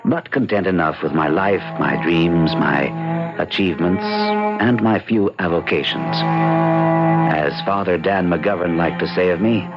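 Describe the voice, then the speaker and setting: Detached pragmatist, measured, expressive intonation, vintage radio drama, dull lo-fi sound. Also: male voice, low voice, over loud music. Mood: reflective. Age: 30s. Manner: measured, dryly amused, articulate. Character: detached, pragmatic thirty-year-old bachelor, cool and articulate. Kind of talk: old-time radio drama acting.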